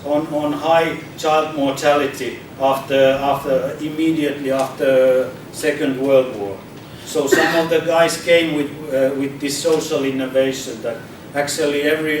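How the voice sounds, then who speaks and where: A man from Finland talks to an audience in a large, heavily echoing hall, heard from across the room. He speaks hesitantly, repeating words and restarting his sentences. A steady noise sits under his voice. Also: a little high. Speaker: speaker at a public debate. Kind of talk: informal public talk. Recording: echoey hall from far off, noisy.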